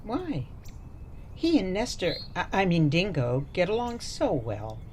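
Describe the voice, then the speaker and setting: An elderly grandmother, played by an actress in a radio play, sweet and meddling. She speaks slowly in a lowish voice with very lively swings of pitch, coaxing and cheerfully oblivious. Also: a little hiss or hum. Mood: chatty, confiding. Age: older.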